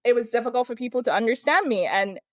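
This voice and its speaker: A woman from Nigeria, a brand consultant guest, giving measured, reflective explanations in a casual interview. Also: a little high, expressive, fairly bright tone, no echo, studio quality.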